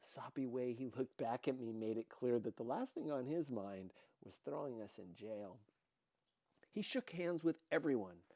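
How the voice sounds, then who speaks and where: A man's voice: medium pitch, animated, normal speed, warm tone, no echo. A man reading a first-person novel aloud as scripted narration. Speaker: audiobook reader.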